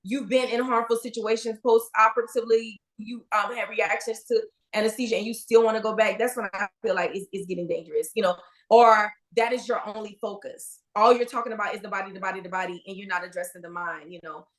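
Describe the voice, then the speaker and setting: A woman's voice: mid-range voice, fairly even intonation, fast, few pauses, poor audio. A woman in her forties co-hosting a podcast, talking candidly and casually with many fillers and restarts. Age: 40s. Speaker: podcast co-host, registered nurse. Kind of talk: casual chat.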